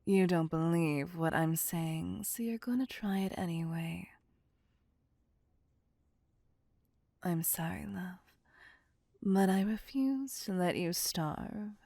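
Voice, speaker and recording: A woman voicing a possessive, vain vampire mistress in an ASMR roleplay, breathy and often whispering, with condescending endearments. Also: on the low side, warm tone, dry recording, clean studio sound. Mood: menacing tenderness. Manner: slow, deliberate, condescending endearments. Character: possessive, vain female vampire, commanding and seductive. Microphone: close, dry microphone.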